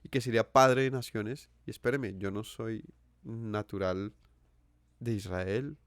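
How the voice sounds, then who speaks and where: A man's voice: lowish voice, unhurried, smooth, no room sound. A Colombian man with a Colombian accent giving an earnest sermon, asking his listeners questions and repeating phrases for emphasis. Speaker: preacher. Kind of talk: sermon teaching.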